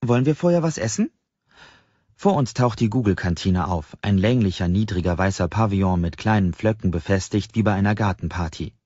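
Husky low-voiced man reading an audiobook. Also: a little mellow. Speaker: audiobook narrator. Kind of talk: scripted first-person novel narration. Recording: slightly dull, roomy sound.

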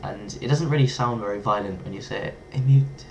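Young man, English accent, lower pitch, playful webcam vlog, faint hiss. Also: brisk, rough recording. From England. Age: young adult. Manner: playful, lively, toys with foreign words. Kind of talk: casual vlog talk to camera. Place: small bedroom indoors.

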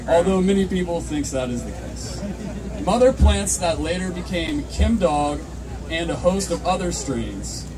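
A young man emceeing a contest at an outdoor fair, reading entry notes into a handheld microphone over a PA, then rallying the crowd. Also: high-pitched. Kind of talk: announcing at an outdoor event. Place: outdoor fair under a canopy tent. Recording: distant camera mic, noisy crowd. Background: crowd chatter.

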